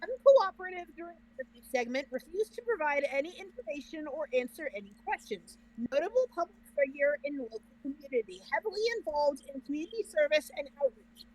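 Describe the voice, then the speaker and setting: A woman with a high-pitched voice talks as a reaction co-host. Her delivery is casual and conversational, with dry, joking remarks. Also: very animated, fast talker, hardly any pauses, poor audio.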